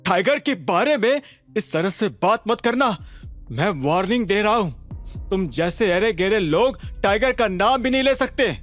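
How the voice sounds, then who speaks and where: A man's voice: high voice, lively intonation, unhurried, a bit bright. A man voicing a nervous, indignant, loyal gangster henchman in an audio drama, stammering and repeating words. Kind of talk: audio drama character acting. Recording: music behind.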